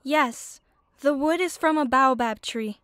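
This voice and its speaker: A woman's voice: high-pitched, a little slow, fairly bright tone, no echo, faint music behind. An actress playing a polite but wry young twin girl in an audio drama. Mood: curious, earnest.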